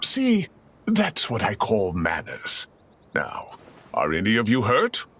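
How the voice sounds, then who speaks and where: A man's voice: on the low side, huge pitch swings, takes it slowly, fairly bright tone, a little hiss or hum, full character voice. A man voicing a fussy, avuncular older professor in a video game, with a theatrical, courtly manner. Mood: mock indignant. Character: fussy, avuncular older male professor who grows tomatoes.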